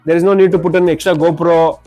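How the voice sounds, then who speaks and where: Male, Indian accent, rapid salesman patter, slightly high voice, repeats himself while demonstrating products, music behind. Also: level tone, a little mellow, dry recording. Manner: repeats words and phrases while explaining. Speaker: shop salesman presenting products.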